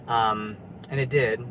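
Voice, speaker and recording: A man's voice: medium pitch, steady tone, takes it slowly, noisy background. A young man talking candidly in a personal vlog from his car in a parking lot, rambling and confessional, with lots of fillers and swearing. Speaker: vlogger.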